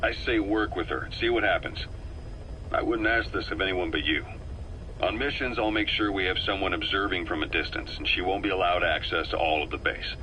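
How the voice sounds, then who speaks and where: A man playing a cool, calculating veteran mercenary intelligence officer in a video game, speaking in a measured, wry, persuasive way. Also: deep voice, sharp tone. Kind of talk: video game voice acting, briefing dialogue. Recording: noisy radio-like cassette tape recording.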